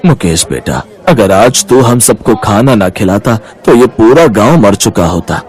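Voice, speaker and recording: A man's voice: on the low side, very animated, measured pace, a little mellow. A man voicing an older, fatherly village man in a moral story, solemn and full of advice. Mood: grateful, solemn.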